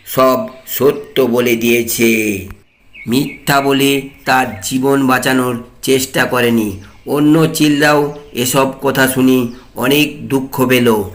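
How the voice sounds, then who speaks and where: A man's voice: medium pitch, a bit bright. A man narrating a scripted storybook tale for a cartoon.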